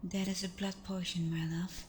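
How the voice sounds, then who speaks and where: A woman acts as a caring vampire girlfriend in an ASMR roleplay. Her voice is soft and breathy, often nearly whispered, and stays very level in pitch. She is patient and reassuring, with some noise and ambience behind her. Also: level tone, natural voice. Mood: tender, reassuring. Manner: soothing, reassuring, unhurried.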